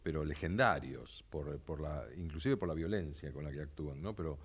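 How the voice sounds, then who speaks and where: A man with a low-pitched voice hosts a casual interview. He asks questions and adds comments, halting and doubling words as he works out his thought. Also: husky. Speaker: interview show host.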